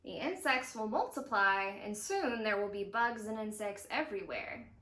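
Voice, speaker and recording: A woman's voice: mid-range voice, lively intonation, moderate pace, bright, forceful tone, recorded from a distance. A woman voicing a practical mother from a picture book, questioning in a pointed, doubtful way. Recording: roomy echo of a home interior.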